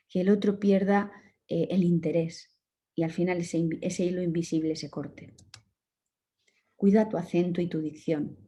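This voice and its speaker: A woman from Murcia with a Murcian Spanish accent, giving an online lecture as a communication course instructor in a calm, instructive way, with direct advice. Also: fairly low pitch. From Murcia, Spain.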